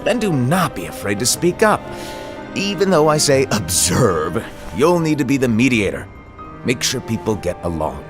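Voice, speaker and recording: A man's voice: mid-range voice, sing-song, moderate pace, music in the background, close to an everyday voice. A man playing a playful, eccentric master in a video game cutscene, teasing, casual and theatrical.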